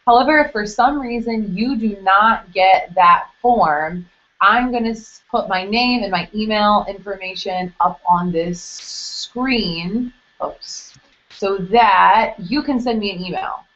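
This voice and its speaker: A woman's voice: medium pitch, slow, fairly bright tone. A young woman hosting a live webcast information session for an admissions office. She talks casually and explains things step by step, with plenty of fillers. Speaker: international admissions staff host. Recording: dull lo-fi webcast audio.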